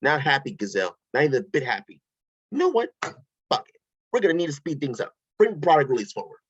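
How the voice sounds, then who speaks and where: A man's voice: a little high, wildly swooping pitch, fairly quick, fairly bright tone, breathy edge, no room sound, lo-fi sound, exaggerated voice. A man playing an impatient, ranting villain boss in an amateur table read. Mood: irritated, impatient.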